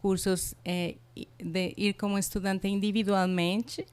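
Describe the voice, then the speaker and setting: A woman's voice: mid-range voice, little pitch movement, a little slow, darkish tone, smooth. A middle-aged woman from Mexico, a native Spanish speaker with a Spanish accent, chatting enthusiastically in an interview and using many fillers. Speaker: college international representative. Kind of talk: casual interview.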